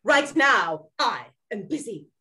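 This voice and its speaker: Woman doing a high swoopy diva movie director lady, pompous, imperious, slow and deliberate, old talkies era play. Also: very animated, pushed and bright, full character voice. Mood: haughty. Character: grandiose, imperious, temperamental female Hollywood film director. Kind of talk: amateur 1920s period stage acting.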